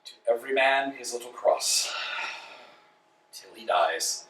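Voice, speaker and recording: A man's voice: mid-range voice, expressive, measured pace, fairly bright tone. In a very echoey room, off the mic, a man acts out an anxious stage-play tramp. He is insistent and philosophical, forever questioning his companion. His delivery is theatrical and emphatic. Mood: wistful, subdued. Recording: echoey room, distant mic, faint noise.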